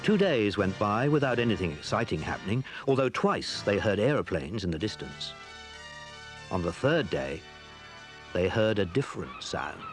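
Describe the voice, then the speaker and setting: A man tells a children's adventure story in a fairly low voice. His scripted narration is expressive and varied, with soft music behind him on an old story tape. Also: moderate pace. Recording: 1980s story cassette.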